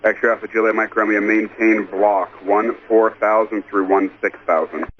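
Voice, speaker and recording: A man's voice: lowish voice, level tone, normal speed. A man working as an air traffic controller, giving procedural instructions and repeating callsigns over a radio transmission. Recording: muffled, lightly noisy air traffic radio.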